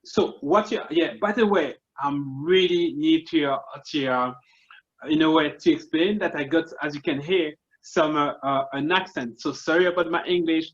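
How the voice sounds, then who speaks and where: A man's voice: fairly high pitch, moderate pace, highs cut off. A man with a Congolese French accent, a marketing consultant guest explaining his ideas earnestly step by step, often restarting his sentences. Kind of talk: casual explanatory interview talk.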